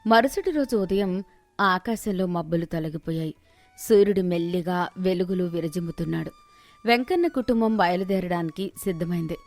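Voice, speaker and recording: A woman's voice: fairly low pitch, lively intonation, moderate pace. A woman reading a scripted moral story as its narrator. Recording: music behind the voice.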